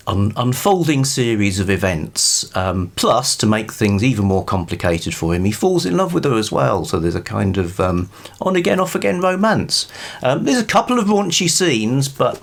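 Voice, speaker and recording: Low-voiced man giving rambling book reviews. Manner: rambling, chatty, wry understatement. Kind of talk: casual book review to camera.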